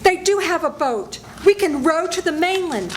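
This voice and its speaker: An older woman playing a frightened, suspicious young woman in a radio play reading, anxious and halting. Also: very high voice, expressive. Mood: tense. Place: senior center event room, live reading. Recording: noisy live room.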